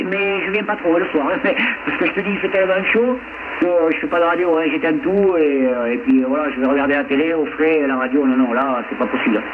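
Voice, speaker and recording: A man from France chatting casually as a radio operator, rambling along and repeating his phrases, heard over a radio link. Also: a little high. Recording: tinny band-limited radio link, heavy static.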